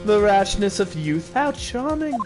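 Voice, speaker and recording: A man's voice: high voice, big pitch swings, unhurried, music in the background, pitched above the performer's own voice. A man reading as a smug, mocking prosecutor.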